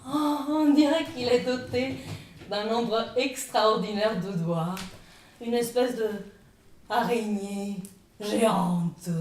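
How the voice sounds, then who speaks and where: A woman's voice: fairly high pitch, slight background noise. A woman from northern Italy with an Italian accent, performing a dramatic, comic first-person theatrical monologue. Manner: dramatic, expressive delivery. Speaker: stage actress performing a one-woman show. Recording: echoey room, distant microphone.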